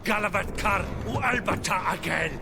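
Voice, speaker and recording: An actor playing a stern, hostile foreign guard in a video game, speaking in a commanding, gravelly way. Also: male voice, high-pitched, normal speed, background noise. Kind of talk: video game voice acting in invented language.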